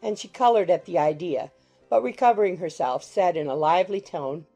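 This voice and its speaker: A woman reads literary narration for an audiobook. Her voice is fairly low and mellow, with a marked creak, and music plays underneath. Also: fairly quick. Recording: music behind the voice.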